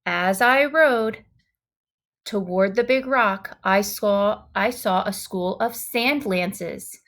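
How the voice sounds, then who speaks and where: A woman reading a children's story aloud in an expressive, dramatic way, leaning on key words and exclamations. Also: mid-range voice, slow pace. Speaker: storybook reader.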